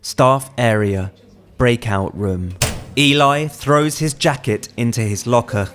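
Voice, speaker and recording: A man's voice: medium pitch, slow pace, a bit bright, a little hiss or hum. A man reading screenplay directions as a scripted narration. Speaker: writer reading own screenplay.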